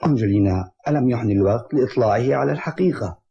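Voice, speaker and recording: An actor playing a polite, serious young gentleman doctor in a dubbed cartoon, speaking formal Arabic courteously and earnestly. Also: mid-range voice, dull audio, faint music behind, natural voice. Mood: serious, concerned. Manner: courteous, measured wording, earnest.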